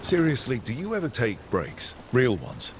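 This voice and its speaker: Young male adventurer, loyal and lightly teasing, fantasy game, medium pitch, rain and street noise behind. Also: wildly swooping pitch, moderate pace. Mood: teasing, curious. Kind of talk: video game voice acting.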